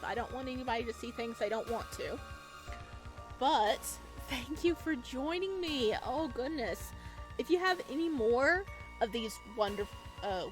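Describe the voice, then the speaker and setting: A woman hosting a visual novel playthrough, reading the story and commenting casually. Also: on the high side. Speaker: game playthrough host. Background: game music.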